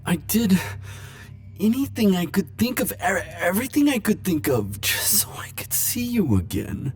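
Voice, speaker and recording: A man playing a lovesick, world-weary immortal in an intimate romantic audio roleplay, speaking haltingly and emotionally. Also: high voice, wildly swooping pitch, faint music behind. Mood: desperate, pleading. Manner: halting, emotional, stammering confessions with soft wry asides.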